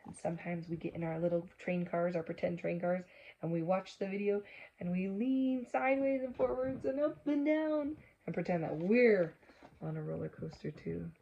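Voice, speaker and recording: A woman reading a storybook aloud for children, gently engaging them with asides and questions. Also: fairly low pitch, normal speed, gravelly, lo-fi sound, slight background noise.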